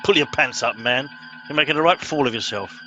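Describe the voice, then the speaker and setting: A man's voice: medium pitch, a little fast, muffled recording, close to an everyday voice. Man playing a pompous English captain, music behind. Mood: self-satisfied. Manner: blustering, officious, clipped commands. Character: pompous, exasperated middle-aged male starship captain. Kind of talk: comic radio play acting.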